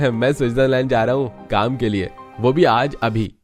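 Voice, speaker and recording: A man's voice: medium pitch, measured pace, faint music behind, close to an everyday voice. A man voicing a boastful, idle young husband in a cartoon story, brash, self-assured and dismissive. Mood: cocky.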